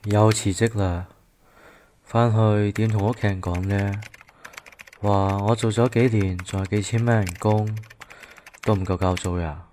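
A man's voice: lowish voice, fairly even intonation, measured pace, long pauses, darkish tone, lightly acted. A man with a Cantonese accent playing a discouraged, broke young worker in a radio drama. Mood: dejected. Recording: faint noise, keyboard clicks.

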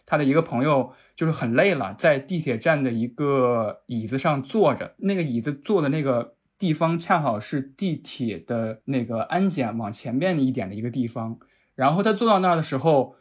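Man, podcast host, middle pitch, husky edge, casual and reflective, anecdotal. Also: animated, normal speed.

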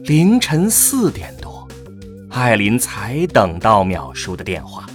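A man's voice: lowish voice, wildly swooping pitch, slow pace, faint music behind. A man narrating a scripted science-fiction radio drama.

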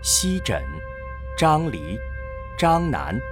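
Slow, dramatic male narrator. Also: a little high, wildly swooping pitch, over music. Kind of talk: dramatic scripted narration of a sci-fi audio drama.

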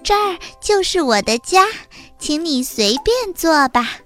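Woman voicing a high, happy little country mouse. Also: lively intonation, unhurried, faint music behind. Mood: welcoming. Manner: exclamatory, warmly welcoming. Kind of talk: children's storybook character voice.

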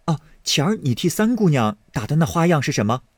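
A man's voice: a little high, big pitch swings, brisk, slightly rough, no room sound, pitched above the performer's own voice. A man voicing an eager, teasing young nobleman, in a dry close recording.

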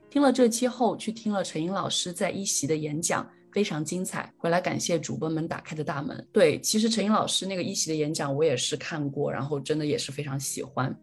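A woman's voice: low voice, darkish tone, slightly rough. A woman co-hosting a podcast, chatting casually and reading out listener messages in a chatty, easily amused way. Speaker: podcast co-host.

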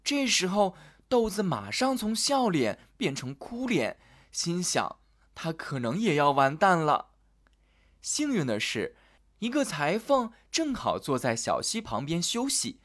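Lively male children's storyteller. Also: fairly high pitch. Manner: gentle, sing-song storytelling to children. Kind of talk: scripted fairy-tale narration.

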